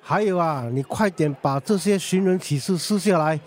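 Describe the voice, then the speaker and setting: A man's voice: fairly high pitch, moderate pace, lightly acted. A man playing an irritated, sarcastic coffee shop keeper in a radio drama, scolding snappishly.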